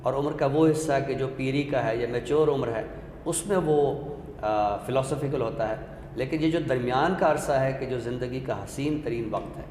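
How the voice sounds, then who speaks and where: A man explains literature as a talk show guest, measured and scholarly, often posing rhetorical questions. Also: mid-range voice, darkish tone. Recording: echoey hall-like room with faint noise.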